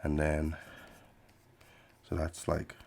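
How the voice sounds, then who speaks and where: Bahamian-raised host, slow, flat, rambling talk while sketching. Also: male voice, a bass voice, gravelly. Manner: meandering, many fillers and restarts, laid-back. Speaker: podcast host and artist. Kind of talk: casual rambling chat while drawing.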